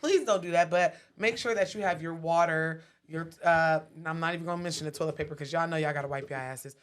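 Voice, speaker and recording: Woman co-host with a fairly deep voice, relaxed and chatty, laughs easily, speaks straight to her viewers. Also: fast. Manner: laid-back, laughing, talks straight to viewers. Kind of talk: casual call-in show chat.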